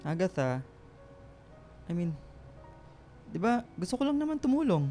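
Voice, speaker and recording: High-pitched sweet young boyfriend, acted by a man. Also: big pitch swings, measured pace, dark tone, faint music behind. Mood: worried, placating. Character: devoted young boyfriend, generous, patient, sweet, conciliatory. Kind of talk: radio romance drama acting.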